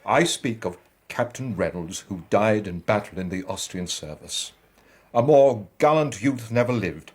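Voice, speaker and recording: Animated, husky, courtly gentleman. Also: fairly low pitch, measured pace. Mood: courtly, measured. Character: genial, courtly gentleman, hearty mentor to young man. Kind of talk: period radio drama acting.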